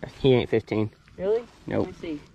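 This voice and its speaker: A teenage boy chatting excitedly while bass fishing from a boat outdoors, recorded on a head-mounted camera. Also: fast talker, creaky, lo-fi sound, background noise. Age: teens. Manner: excited, laughing, short remarks. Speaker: youth angler. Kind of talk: casual chat while fishing. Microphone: head-mounted action camera.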